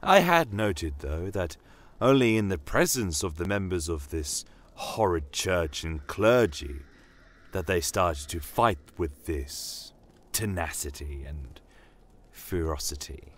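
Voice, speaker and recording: A man performs a stern fantasy war commander recounting his tale. The voice is very low and slow, formal and brooding, with proud authority in a storytelling style. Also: lively intonation, exaggerated voice. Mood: brooding, measured. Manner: measured, deliberate, formal, brooding. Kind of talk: first-person fantasy audio storytelling.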